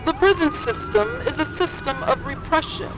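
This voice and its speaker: An actress playing an impassioned young revolutionary activist, giving an urgent, declamatory rally speech in an audio drama. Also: female voice, high voice, moderate pace, natural voice, rough recording. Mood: militant. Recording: music and crowd behind voice.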